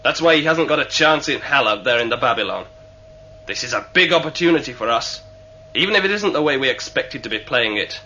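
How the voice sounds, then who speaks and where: A man's voice: mid-range voice, expressive, a little fast, pushed and bright. An actor playing a cocky, sneering male spacer, a scheming mutineer who needles his captors in a sci-fi radio drama. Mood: scheming, boastful. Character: sly, taunting male mutinous spacer held captive. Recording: muffled, hissy old radio recording with hum.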